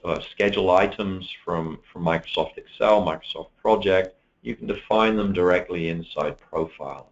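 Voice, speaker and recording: A man with a low, deep voice walks through software features in a steady, explanatory way, now and then pausing on an um. The sound is dull and lo-fi, like a recorded online webinar. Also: vocal fry. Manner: steady explanatory, occasional ums. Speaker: software presenter. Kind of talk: product webinar walkthrough.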